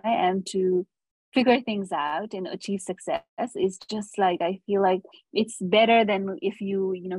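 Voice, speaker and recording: A woman's voice: fairly low pitch, no echo, rough recording. Hesitant woman host mixing in Nepali. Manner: hesitant, many ums and uhs, mixing in Nepali phrases. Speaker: podcast host. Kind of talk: casual interview conversation.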